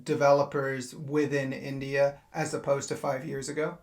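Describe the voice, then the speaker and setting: A man's voice: mid-range voice, little pitch movement, moderate pace. A man hosting a business podcast, asking long, carefully framed questions. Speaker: podcast host.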